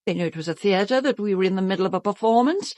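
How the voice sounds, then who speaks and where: An actress playing a vain, self-absorbed stage star with a British accent, haughty and melodramatic in a radio drama. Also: female voice, mid-range voice, lively intonation, fast, a little mellow, dry recording, close to an everyday voice. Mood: defensive, indignant.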